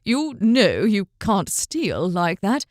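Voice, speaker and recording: A woman voicing a calm, principled male traveler in an audiobook, speaking slowly and politely. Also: medium pitch, no echo, studio quality. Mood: earnest. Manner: slow, measured, courteous. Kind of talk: audiobook character voice.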